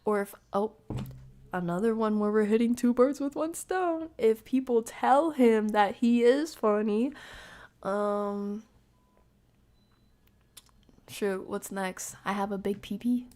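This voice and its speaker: Girl streaming to her chat, slangy and playful, drags her words out slow, casual reacting. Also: on the high side, darkish tone. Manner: slangy, playful, drawn-out delivery. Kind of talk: casual livestream commentary, chatting with viewers.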